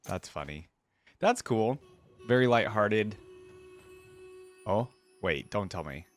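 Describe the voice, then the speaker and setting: Low-voiced man casually reacting to videos. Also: expressive, slow pace. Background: music from videos being watched.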